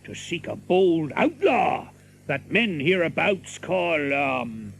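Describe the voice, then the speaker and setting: A stout, blustering tinker in a theatrical adventure drama. His voice is high and gravelly, and he draws his archaic words out slowly. He is boastful and quick to take offence. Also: very animated, slight background noise, exaggerated voice. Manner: blustering, exclamatory, chuckling, drawn-out delivery. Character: boastful, hot-tempered stout tinker, older than Robin.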